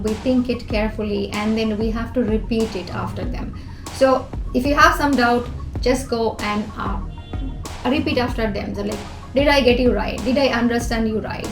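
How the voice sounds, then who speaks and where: A woman from Bangladesh, earnestly talking to camera as a communication coach and giving numbered tips in a direct, advising way. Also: a little high. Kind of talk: advice talk to camera. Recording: echoey room with background music.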